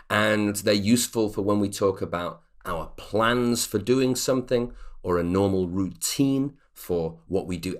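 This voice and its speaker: Lively low-voiced male English teacher. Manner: patient, explanatory, checks in with "okay". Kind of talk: online grammar lesson.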